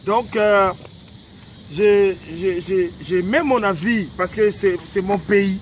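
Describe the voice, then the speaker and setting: Congolese man, high voice, earnest and halting, filmed outside with loud traffic behind. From Democratic Republic of the Congo. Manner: earnest, hesitant, many fillers and restarts. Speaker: vlogger giving political commentary. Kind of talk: casual outdoor talk to camera. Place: outdoors by a small lake in a city. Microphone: camera held by a cameraman. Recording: outdoor video with loud traffic noise.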